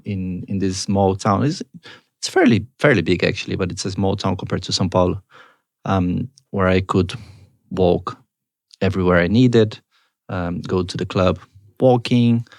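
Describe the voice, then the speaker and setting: Man in his thirties from Argentina, startup founder guest, very low and gravelly voice, hesitant delivery, dry close sound. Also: darkish tone, no echo. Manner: hesitant, many ums and restarts. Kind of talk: casual interview answers.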